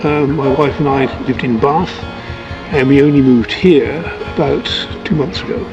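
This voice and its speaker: A man from England giving thoughtful, measured interview answers, sometimes restarting a phrase. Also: medium pitch, muffled recording, over music.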